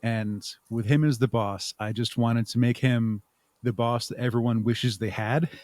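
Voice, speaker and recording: A man's voice: mid-range voice, steady tone, unhurried, darkish tone, no room sound. A man speaking as a podcast guest in casual interview talk, explaining things thoughtfully. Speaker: podcast guest and audio drama creator.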